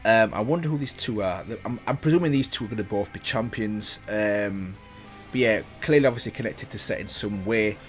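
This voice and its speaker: A man's voice: lowish voice, fairly quick, faint music behind. A man hosting a reaction video, thinking aloud in casual commentary with frequent restarts and self-corrections.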